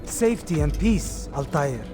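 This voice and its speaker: A man's voice: a little high, very animated, measured pace, over music. A man playing a sober, watchful assassin comrade in a video game, speaking formally and earnestly. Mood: cautioning. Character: sober, watchful male assassin comrade, loyal brother-in-arms. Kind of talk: video game cutscene acting.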